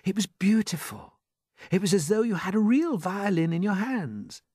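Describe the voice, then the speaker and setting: A man's voice: high-pitched, very animated, normal speed, a little mellow, husky, dry recording, clean studio sound, pitched above the performer's own voice, heavily acted. Man voicing a polite, wondering small boy. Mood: admiring, curious. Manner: earnest, wondering. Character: small boy, polite, curious, wide-eyed. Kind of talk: storybook character voice.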